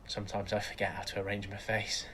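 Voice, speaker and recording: An actor playing a weary, awkward young father who is quietly grieving, in naturalistic audio drama. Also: fairly low pitch, monotone, fast, slight background noise. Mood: low, deflated.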